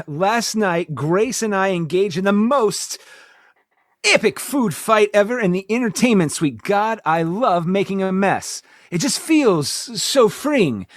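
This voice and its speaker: A man's voice: high voice, no room sound, clean studio sound. A man playing an earnest, boyishly romantic starship captain who stammers sheepishly in a comedic sci-fi radio play. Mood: gleeful, confiding. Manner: stammering, throat-clearing, sheepish.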